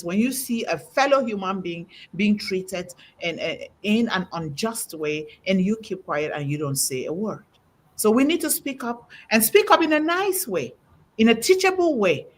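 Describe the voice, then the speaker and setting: A middle-aged woman with a husky, breathy voice speaks to a panel in an emphatic, preaching manner. Her pitch swings widely as she quotes scripture and presses her points home. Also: a bit bright. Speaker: host. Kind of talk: preaching-style talk on a panel.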